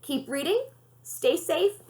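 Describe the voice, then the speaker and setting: A woman, a librarian, giving an expressive read-aloud narration of a children's novel. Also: high voice, slow.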